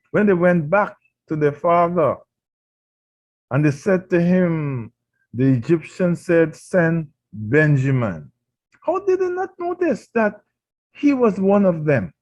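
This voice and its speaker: Trinidadian pastor, slow and lively. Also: male voice, fairly high pitch, dull audio. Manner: emphatic rhetorical questioning preacher. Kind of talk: radio sermon.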